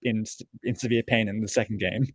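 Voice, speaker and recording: A man's voice: on the low side, fairly even intonation, a little fast, husky, dry recording. Young man, dry close sound. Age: 20s. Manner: rambling, self-correcting storyteller. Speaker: co-host of a live football watchalong. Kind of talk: casual chat.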